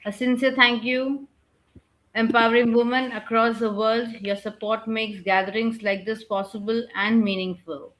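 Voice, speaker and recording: A woman giving an earnest, measured vote of thanks at the close of an online webinar. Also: on the high side, flat delivery, a bit bright, rough recording. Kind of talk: read formal thank-you speech. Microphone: webinar video call.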